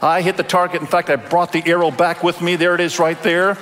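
A middle-aged male pastor raised in Indonesia, preaching a Sunday sermon in a relaxed, conversational way with many fillers and restarts. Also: high voice, fairly quick, slight background noise. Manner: warm conversational delivery with frequent fillers and restarts. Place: large church auditorium. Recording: long church reverb.

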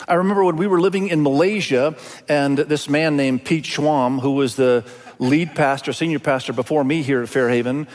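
A man from Indonesia, a native Indonesian speaker, preaching a sermon as a pastor in an emphatic way with deliberate pauses and repetition. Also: on the high side, steady tone, darkish tone. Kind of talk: expository sermon. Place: large hall, speaking to a congregation. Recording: reverberant large hall sound.

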